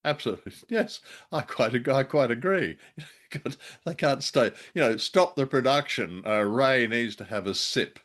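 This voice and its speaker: A man's voice: medium pitch, lively intonation, normal speed, fairly bright tone. A man co-hosting a podcast, musing and a little hesitant as he casually reviews radio dramas.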